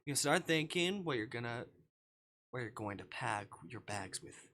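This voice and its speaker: A man's voice: mid-range voice, lively intonation, moderate pace, sharp tone. A man voicing a flirty, generous female best friend in a visual novel, teasing and affectionate, tossing out pet names. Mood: doting. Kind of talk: reading a visual novel aloud.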